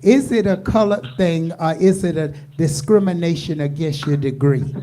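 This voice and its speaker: A woman's voice: on the low side, normal speed, mellow, a little hiss or hum. An American woman hosting a radio talk show, talking emphatically and asking rhetorical questions.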